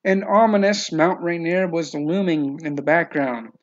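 A man's voice: a little high, steady tone, a little slow. A man giving scripted narration of a novel, in a slightly dull, lo-fi recording.

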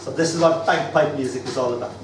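A man's voice: medium pitch, fast. An older man with an Irish accent, hosting a poetry open mic with theatrical, rambling storytelling. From Ireland. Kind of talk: live storytelling and poetry performance. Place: small indoor venue stage. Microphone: far camera. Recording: roomy, noisy, distant camera recording.

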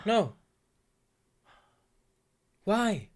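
A man's voice: high voice, sing-song, very slow, heavy background noise. A man in his twenties from Germany giving a casual, exclaiming reaction commentary, often questioning himself. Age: 20s. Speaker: host of a reaction channel. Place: small indoor room with shelves, at a desk. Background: music from the video he watches.

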